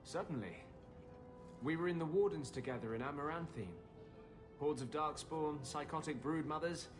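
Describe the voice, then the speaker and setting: An actor playing a wry, sarcastic runaway mage in a fantasy video game. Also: male voice, a little high, moderate pace, natural voice. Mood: wry, sardonic. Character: sardonic runaway male mage, former Grey Warden. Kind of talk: fantasy game cutscene acting. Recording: music behind the voice. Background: music.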